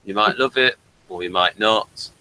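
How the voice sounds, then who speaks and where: Halting man hosting a casual review. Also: lowish voice, pushed and bright, slight background noise, rough recording. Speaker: host of a TV review show. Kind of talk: casual unscripted review chat.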